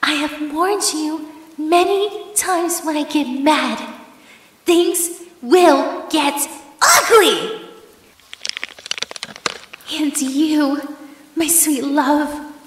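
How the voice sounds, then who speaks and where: A woman's voice: sits high, drawn-out words, pauses often. A woman playing a menacing, possessive yandere ex-girlfriend in an ASMR horror roleplay, with sugary endearments and theatrical commands. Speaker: voice actress in audio roleplay. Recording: echoey, long hall-like reverb.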